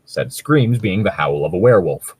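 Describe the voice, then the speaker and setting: A man's voice: low-pitched, animated, moderate pace, darkish tone, slightly rough, dry recording. A man chatting casually as a co-host, explaining things in a rambling, sweary way. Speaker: co-host reading and discussing fan fiction. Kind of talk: casual chat and commentary.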